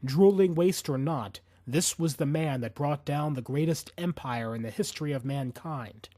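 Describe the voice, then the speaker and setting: A man's voice: fairly high pitch, moderate pace, warm tone, no echo. A man narrating fiction as a dramatic audiobook reader, intense and suspenseful.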